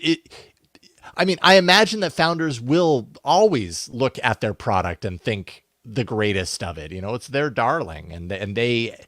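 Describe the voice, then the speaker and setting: A man's voice: medium pitch, a bit bright, no room sound, clean studio sound. A man co-hosting a podcast, discussing casually and thinking aloud in a musing, tentative way. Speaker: podcast co-host.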